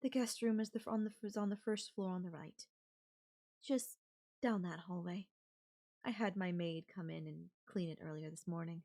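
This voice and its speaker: A woman's voice: fairly low pitch, moderate pace, warm tone. A woman playing a controlling, wealthy CEO in an audio roleplay, speaking in an imperious, formal and coldly condescending manner. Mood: haughty, condescending. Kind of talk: ASMR audio roleplay acting.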